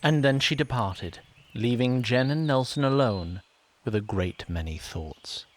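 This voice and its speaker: An actor as the narrator of a fantasy audio drama, telling the tale in a deliberate, literary storybook style. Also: male voice, mid-range voice, animated, natural voice. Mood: measured, storytelling.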